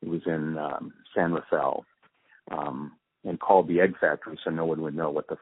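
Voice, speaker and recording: Deep-voiced man on a muffled phone line. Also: steady tone, normal speed, husky. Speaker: interviewee, radio drama post-production supervisor. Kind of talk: reminiscing in an interview.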